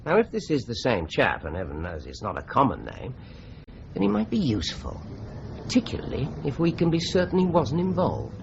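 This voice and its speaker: An actor playing a polite, measured police inspector in a radio drama. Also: male voice, mid-range voice, wildly swooping pitch, creaky, a little hiss or hum. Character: calm, courteous Scotland Yard inspector, man. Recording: dull lo-fi radio recording.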